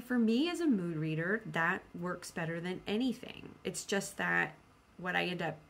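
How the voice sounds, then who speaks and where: Chatty, self-deprecating woman vlogger. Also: mid-range voice. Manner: animated self-quoting, repeats phrases for emphasis. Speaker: book vlogger. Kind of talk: casual, rambling video chat about personal plans.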